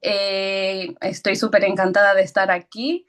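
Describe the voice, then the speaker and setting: Slow, nervous woman from Ecuador. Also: mid-range voice, flat delivery. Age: 30s. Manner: hesitant, nervous, with frequent fillers and soft laughs. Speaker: guest speaker. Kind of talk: personal story talk.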